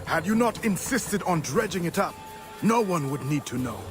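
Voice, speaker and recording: An actor voicing a stern, paranoid, embittered mentor of assassins in a video game, curt and scolding. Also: male voice, sits high, animated, moderate pace, over music. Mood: angry, dismissive. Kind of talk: dramatic video game voice acting.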